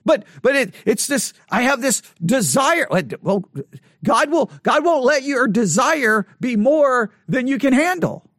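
Male Bible study teacher, very high voice, halting and repetitive, close and dry. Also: expressive, fairly bright tone, dry recording. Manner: halting, repeats words while working through a thought. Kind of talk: thinking-aloud Bible study lesson.

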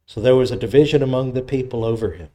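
Mellow-voiced man preaching a sermon. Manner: measured expository preaching, voice drops low in prayer. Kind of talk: sermon with scripture reading and prayer.

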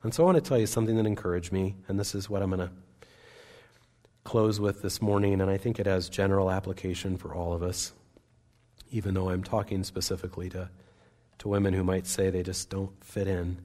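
A man's voice: low voice, flat delivery, brisk, dark tone. A man preaching a sermon in a church service, speaking in an earnest, conversational way with small restarts.

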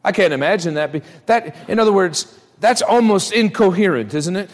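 High-pitched male preacher in an echoing hall. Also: lively intonation, normal speed. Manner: conversational preaching with rhetorical questions to the congregation. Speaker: preacher at a church. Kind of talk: sermon. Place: large reverberant church room, live service. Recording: reverberant hall, live church sermon.

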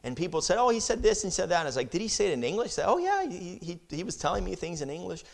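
A man's voice: high-pitched, big pitch swings, racing, gravelly. Earnest missionary man speaking to a church. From Brazil. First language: Portuguese. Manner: earnest, conversational, repeats words while speaking. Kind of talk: church presentation, Q&A.

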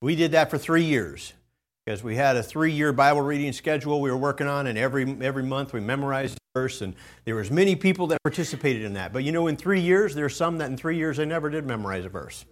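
A man preaching a sermon to his church as pastor, folksy and storytelling, his voice a little gravelly. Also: medium pitch, expressive, fast. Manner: folksy storytelling, loud bursts when quoting his own preaching. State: slightly gravelly voice.

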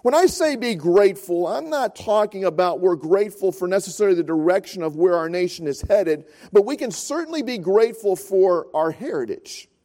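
High-voiced pastor preaching. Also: male voice, lively intonation, a little fast, few pauses, dark tone. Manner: storytelling, earnest, repeats phrases for emphasis. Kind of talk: sermon.

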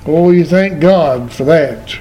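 An old man in his eighties preaching a sermon in a church, quoting scripture in slow, emphatic phrases. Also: a little high, warm tone. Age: 80s. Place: church sanctuary. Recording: very echoey church from far off.

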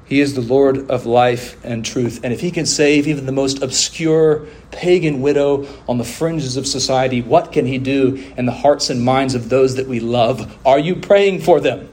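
A man delivering a sermon as a preacher, with emphatic oratory, deliberate pauses, drawn-out phrases and rhetorical refrains. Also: medium pitch, darkish tone. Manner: rhetorical questions and repeated refrains.

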